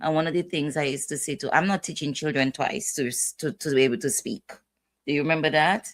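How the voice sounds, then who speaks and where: A woman's voice: deep voice, fairly even intonation, a little fast. A middle-aged woman hosting a casual family chat, talking in a rambling, chatty way with asides. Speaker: host, mother.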